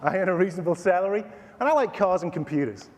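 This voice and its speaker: A man in his forties with a Texan accent, pitching a product from a conference stage with a lively, sing-song voice that rises and falls a lot. He sounds a little high for a man, and his voice rings out through a large hall with a long echo. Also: quick pace. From Texas, USA. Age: 40s. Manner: animated, showman-like pitch with playful asides. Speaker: company CEO presenting a product. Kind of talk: staged product demo presentation.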